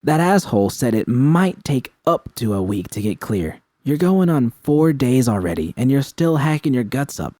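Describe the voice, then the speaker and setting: A man voicing a blunt, sharp-tongued young woman mercenary who is worried and frustrated, in an audiobook story. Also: medium pitch, big pitch swings, normal speed, few pauses, no echo, voice pushed up. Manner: blunt, colloquial, swearing.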